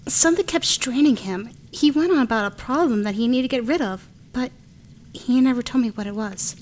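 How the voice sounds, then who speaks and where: A woman's voice: on the high side, fairly quick, close to an everyday voice. A woman with an American accent playing a sad, sympathetic young woman in an amateur radio play.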